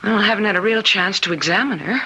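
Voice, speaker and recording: Composed lady doctor, radio play, husky and crisp-edged, dry delivery. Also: medium pitch, quick pace, pushed and bright, poor audio. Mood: businesslike. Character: composed, dryly flirtatious woman hospital doctor. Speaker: actor. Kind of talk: radio drama acting.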